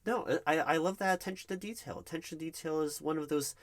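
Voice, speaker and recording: A man's voice: on the high side, fast. A man playing a nervous, polite recruiting manager in a one-sided ASMR job interview roleplay, speaking hesitantly with awkward professionalism. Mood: nervously agreeable. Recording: clear background noise with keyboard typing. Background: loud keyboard typing and clicks.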